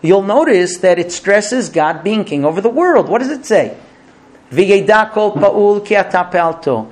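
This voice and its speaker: A man's voice: high voice, very animated, unhurried, darkish tone. A man giving a sermon as a rabbi and teacher, telling a story and asking rhetorical questions, often repeating words.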